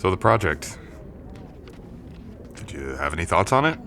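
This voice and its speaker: A young man playing a confident, teasing and protective college jock in an intimate boyfriend audio roleplay, speaking in a gentle, conversational way. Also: sits low, very animated, normal speed, gravelly, slight background noise, exaggerated voice. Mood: teasing, admiring.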